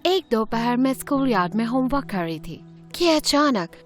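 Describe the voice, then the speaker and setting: A woman narrating an animated story in first person in an expressive, chatty way. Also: fairly high pitch, moderate pace, soft background music.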